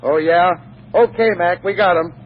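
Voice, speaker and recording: A man's voice: high-pitched, moderate pace, slight background noise, lightly acted. An actor playing a curt, bossy, slangy policeman in a 1940s radio drama. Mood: brusque. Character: brusque, bossy policeman at a roadblock. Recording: muffled 1940s radio recording.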